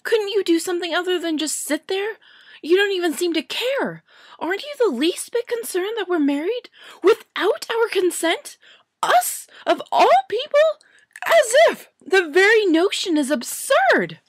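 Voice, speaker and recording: A woman's voice: very high-pitched, big pitch swings, measured pace, dry recording, pitched above the performer's own voice, heavily acted. A woman reading an audiobook story and voicing an indignant, stubborn young witch in accusatory, incredulous outbursts. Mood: outraged.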